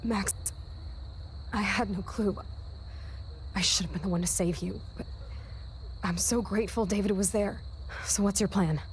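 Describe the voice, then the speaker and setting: An actress playing a brash, loyal young best friend in a video game, with an American accent and a slangy, heartfelt delivery. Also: female voice, mid-range voice, steady tone, measured pace, long pauses, slight background noise, natural voice, poor audio. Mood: grateful, supportive.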